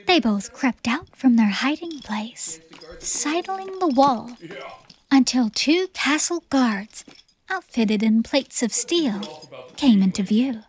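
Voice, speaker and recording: A woman's voice: a little high, expressive, slow pace. A woman reading scripted, dramatic story narration as the narrator of an audiobook. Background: background music.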